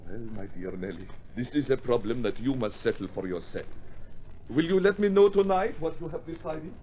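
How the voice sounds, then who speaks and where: A suave male opera singer, played by an actor in an old radio drama. His mid-pitched voice moves in lively, courtly sweeps, eloquent and persuasive, on a dull recording with faint noise. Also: normal speed, lightly acted. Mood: earnest, courtly. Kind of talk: mid-century Australian radio drama acting.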